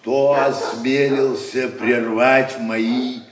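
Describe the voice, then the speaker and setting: An actor playing a grumpy, sanctimonious hermit monk with a gravelly, drawn-out voice in an audio drama, meek on the surface and menacing underneath. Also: male voice, medium pitch, level tone, far from the mic, crowd noise. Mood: grumpy piety. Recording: echoey cell, off the mic.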